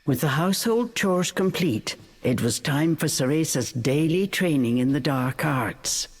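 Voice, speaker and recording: A woman narrating a fairy tale for a video game in an expressive, measured storytelling manner. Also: deep voice, slightly rough, heavily acted. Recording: faint music behind the voice.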